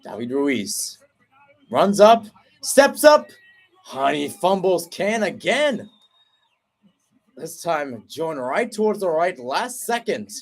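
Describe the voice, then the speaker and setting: Excited young man calling a penalty shootout. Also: takes it slowly, pauses often. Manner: energetic, jumps in pitch and volume at each kick. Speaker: radio play-by-play announcer. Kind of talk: excited sports commentary. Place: at home, not at the stadium.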